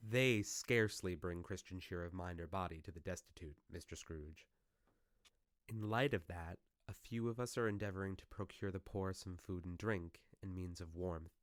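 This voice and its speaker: An actor playing a solemn, earnest gentleman collecting for charity in a radio play, speaking formally. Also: deep voice, normal speed, dry recording, lightly acted. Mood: earnest appeal.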